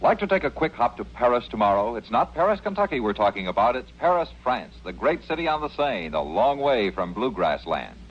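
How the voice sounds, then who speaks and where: A man's voice: medium pitch, very animated, fairly quick, hardly any pauses. An American man announcing for a network in polished mid-century radio style, with jaunty, wordy promotional delivery. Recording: muffled old radio broadcast.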